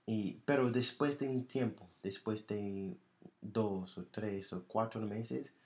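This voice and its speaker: Man, low voice, slow, halting, restarts phrases, casual interview. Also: steady tone, darkish tone. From United States. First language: English. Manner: halting, searching for words with many restarts. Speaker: podcast co-host.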